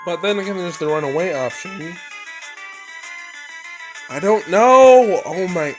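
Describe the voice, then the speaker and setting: A man with a fairly high voice streams a story game. He reads the narration aloud and reacts in exclamatory, slangy asides. Music plays clearly behind his voice. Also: lively intonation, slow. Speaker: let's play streamer. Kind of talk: visual novel let's play with read-aloud narration and reactions. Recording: prominent background music.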